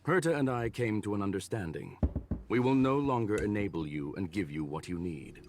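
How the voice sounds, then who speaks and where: An actor playing a courteous, calculating scholarly gentleman in a video game, speaking in a formal, measured, polite way. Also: fairly low pitch, animated, noisy background, close to an everyday voice. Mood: composed, firm.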